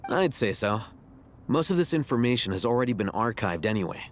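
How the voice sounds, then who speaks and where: An actor playing a cool, rational scholar in a video game, blunt, deadpan and matter-of-fact. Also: male voice, medium pitch, a little fast, a little hiss or hum, lightly acted. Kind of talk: video game dialogue acting.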